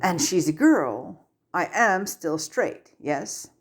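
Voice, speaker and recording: A woman's voice: fairly low pitch, animated, slow pace, slightly rough, pitched above the performer's own voice, full character voice. A woman voicing an awkward, easily flustered young male student in a story reading, hesitant and earnest. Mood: tentative, wondering.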